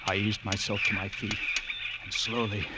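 An actor playing a haunted lighthouse keeper, narrating an old radio drama with intense, halting delivery and suspenseful pauses. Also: male voice, on the low side, little pitch movement, heavy background noise, lightly acted. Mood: tense, exhausted. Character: haunted male lighthouse keeper recounting a grim ordeal.

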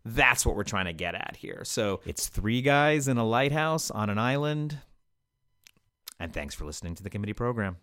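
A man's voice: fairly low pitch, expressive, normal speed, a bit bright, smooth. A man hosting a podcast, introducing material in an explanatory, conversational way with casual asides. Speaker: podcast host.

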